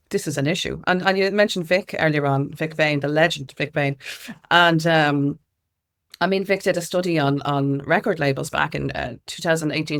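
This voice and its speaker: Emphatic middle-aged Irish woman in conversation. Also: low voice. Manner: emphatic, repeats phrases for weight. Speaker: interview guest, music consultant and activist. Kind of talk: conversational interview, explaining.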